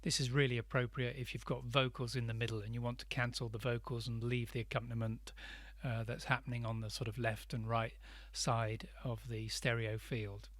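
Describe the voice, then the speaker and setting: A man narrates a step-by-step tutorial in a measured, explanatory way. His pitch is average for a male voice, and the sound is dry and close, with very little room in it. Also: little pitch movement, a bit bright, no room sound. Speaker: instructor.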